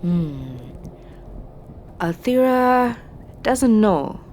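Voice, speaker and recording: A woman playing an eccentric, ageless fortune teller witch who speaks in a cryptic, wry, halting way in a fantasy audio drama. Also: a little high, big pitch swings, a little mellow, a little hiss or hum, heavily acted. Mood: grave, regretful. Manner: speaks of herself in third person, halting and cryptic.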